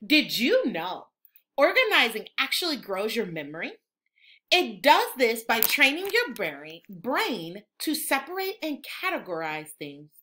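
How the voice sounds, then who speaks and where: A woman teacher reads a picture book aloud to young children. Her voice is fairly high and bright, with lively swings in pitch. She explains things expressively and instructively. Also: a little slow. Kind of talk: animated children's read-aloud.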